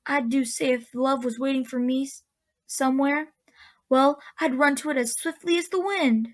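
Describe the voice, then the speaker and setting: A woman playing a kindly old aunt in a radio drama, speaking with gentle, folksy, unhurried counsel. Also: sits high, fairly even intonation, natural voice. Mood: gently persuasive. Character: kindly old auntie of a magical land.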